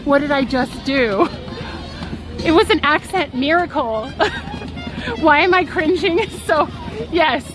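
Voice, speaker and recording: Giggly high-voiced woman at a busy theme park. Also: slow, pauses often, rough recording. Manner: giggly, self-deprecating, chatty. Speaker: live-stream vlogger. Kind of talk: casual storytelling to a live chat. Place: outdoors at a theme park on a rainy day. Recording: park music and crowd noise behind.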